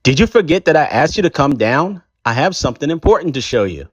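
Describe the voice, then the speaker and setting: A man's voice: on the high side, big pitch swings, quick pace, slightly rough, no room sound, voice pushed up. A man voicing a middle-aged father in a storybook reading, explaining plans plainly and encouragingly.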